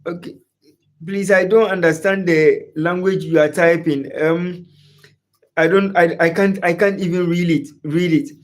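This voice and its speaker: A man with a Ghanaian English accent and a slightly high voice hosts a football livestream. He draws out his questions and repeats whole phrases over and over. Along the way he greets viewers in the chat by name. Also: fairly even intonation, measured pace. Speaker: sports livestream host. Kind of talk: live sports chat reading viewer comments.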